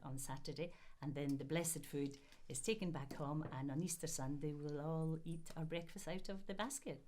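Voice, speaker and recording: Low-voiced Polish-born woman, hesitant. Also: a little mellow. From Poland. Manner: hesitant, frequent filler pauses. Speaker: interviewee. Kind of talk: reflective interview talk.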